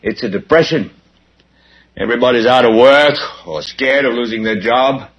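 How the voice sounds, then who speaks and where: A man's voice: high voice, a little fast, a bit bright, husky, rough recording. An actor playing a fervent, angry TV newscaster who preaches insistently at his viewers in an impassioned film monologue. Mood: grim, indignant. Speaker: news broadcaster. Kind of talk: impassioned film monologue, ranting live broadcast.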